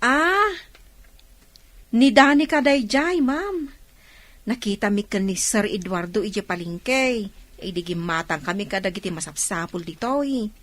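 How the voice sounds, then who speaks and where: A woman's voice: medium pitch, a little slow, fairly bright tone, natural voice. A woman playing a kindly, chatty farmhouse housekeeper in an audio drama. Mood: friendly, chatty.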